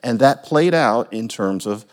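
A man's voice: mid-range voice, lively intonation, measured pace. A man from Philadelphia in a casual conversation, talking in a thoughtful, reflective and self-deprecating way. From Philadelphia, USA.

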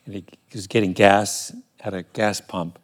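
Lively storytelling man from Jamaica. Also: lowish voice, normal speed. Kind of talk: casual conversation.

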